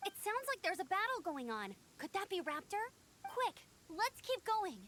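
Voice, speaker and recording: A woman voicing a spirited, earnest young female scout in a video game, brisk and decisive. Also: very high-pitched, sing-song, noisy background. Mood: urgent, eager. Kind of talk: video game character voice acting.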